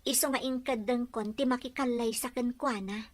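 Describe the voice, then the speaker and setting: A woman's voice: on the high side, steady tone, moderate pace, close to an everyday voice. A woman with a Filipino accent acting a sincere, devoted young bride-to-be in an amateur audio drama. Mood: pleading. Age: young adult.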